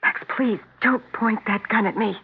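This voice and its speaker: A woman's voice: on the high side, normal speed, breathy edge. An actress playing an earnest, worried woman in a 1970s radio drama, speaking intently. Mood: pleading, afraid. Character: earnest, worried woman taking danger seriously. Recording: muffled, narrow-band 1970s radio broadcast.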